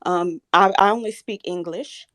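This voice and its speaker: A woman's voice: fairly low pitch, normal speed. A woman in her thirties from the Southern United States, calling into a live talk room and speaking earnestly with a slightly shaky voice. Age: 30s. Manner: soft-spoken, earnest, grows firm and insistent when challenged. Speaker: caller. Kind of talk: live audio chat room.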